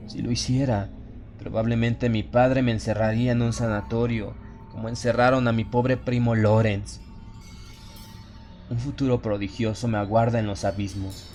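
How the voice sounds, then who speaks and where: A man's voice: medium pitch, darkish tone. A man playing a haunted narrator slipping into exalted, fanatical madness in a solemn, theatrical horror audiobook. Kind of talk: dramatic first-person horror audiobook narration.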